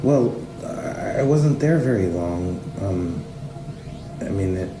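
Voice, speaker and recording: A man playing an uneasy, evasive man under questioning in a fiction podcast, speaking in a halting, subdued way. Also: low-pitched, expressive. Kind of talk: fiction podcast drama acting. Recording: roomy echo with music underneath.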